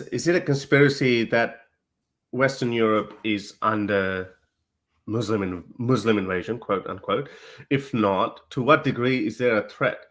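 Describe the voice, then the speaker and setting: A man's voice: lowish voice, a bit bright. A man, hosting an interview and asking earnest, probing questions. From Pakistan. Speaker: host.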